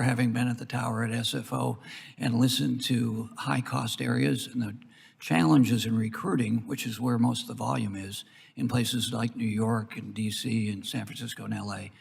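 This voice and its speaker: An American man speaking as a congressman, questioning witnesses in a halting, circling way with a husky, gravelly voice in a reverberant hearing room. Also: mid-range voice. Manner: halting, circling, with broken-off phrases. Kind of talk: rambling committee questioning. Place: large indoor committee hearing room.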